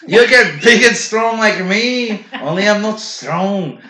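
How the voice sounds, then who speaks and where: A man chatting loosely on a podcast, rambling from one idea to the next and joking. His pitch swings widely as he talks. The room is roomy and echoey. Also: expressive, takes it slowly, sharp tone. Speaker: podcast co-host. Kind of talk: casual podcast chat.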